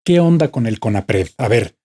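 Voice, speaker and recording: Mexican guy hosting a law podcast, soft mellow voice, easygoing questions. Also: medium pitch, lively intonation, moderate pace, slightly rough. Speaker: podcast host. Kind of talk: interview questions, casual.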